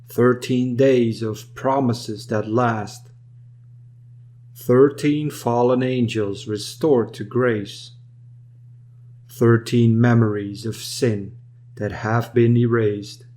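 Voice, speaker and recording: A man's voice: medium pitch, fairly even intonation, very slow, long pauses, a little mellow. A man giving a solemn, scripted reading of a poem. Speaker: poem reader.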